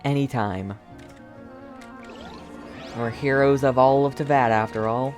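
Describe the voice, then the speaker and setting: A woman's voice: rumbling low, a little slow, a little mellow, soft background music. A woman streamer giving casual, playful reaction commentary while playing a game. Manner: playful, chatty, teasing.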